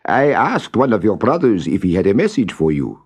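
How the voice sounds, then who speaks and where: A man's voice: lowish voice, huge pitch swings, moderate pace, darkish tone, exaggerated voice. A man voicing a rich merchant, fond and puzzled, in earnest storybook dialogue. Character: rich Persian merchant, fond and puzzled male bird owner.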